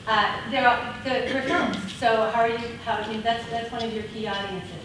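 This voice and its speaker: A woman leads a conference workshop, talking loudly and laughing as she takes questions. She stands without a mic, so her voice comes from across an echoey room. Steady room noise runs under it. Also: on the high side, moderate pace, far from the mic. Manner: loud voice. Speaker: conference presenter. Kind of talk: workshop presentation with audience questions. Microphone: speaking without a mic.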